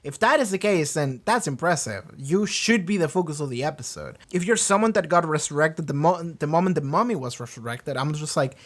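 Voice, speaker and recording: Man rambling casually, higher voice. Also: expressive, brisk, hardly any pauses, a bit bright. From Argentina. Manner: loose, swearing, many fillers and restarts. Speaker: reaction video host.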